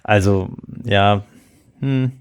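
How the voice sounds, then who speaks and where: A man's voice: lowish voice, extremely slow, clear, resonant tone, dry recording, studio quality. A man co-hosting a podcast, giving firm opinions and reading bits aloud in a casual chat. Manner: opinionated, reads quotes aloud. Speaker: podcast co-host.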